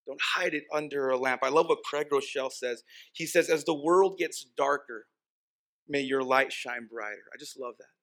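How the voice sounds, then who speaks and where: A man's voice: mid-range voice, moderate pace, fairly bright tone. A man from Texas whose first language is Spanish, preaching an informal, conversational sermon and repeating key phrases for emphasis. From Texas, United States. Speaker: pastor preaching.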